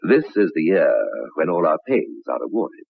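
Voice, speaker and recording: An actor playing a scheming, outwardly helpful husband in a 1960s radio drama, with a raspy voice. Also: male voice, low voice, big pitch swings, moderate pace, no room sound, full character voice. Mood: soothing, brisk. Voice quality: gravelly, raspy. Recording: muffled narrow-band old radio recording.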